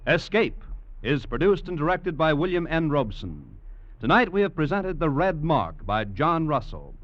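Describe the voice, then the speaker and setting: A man's voice: a little high, no echo. A man with an American accent announcing in a polished, formal 1940s radio style. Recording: muffled, dry vintage radio recording.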